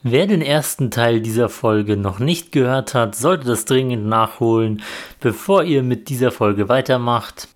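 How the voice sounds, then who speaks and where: A man hosting a podcast, reading a smooth scripted intro and then chatting plainly. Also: mid-range voice. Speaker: podcast host. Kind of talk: scripted intro and casual chat.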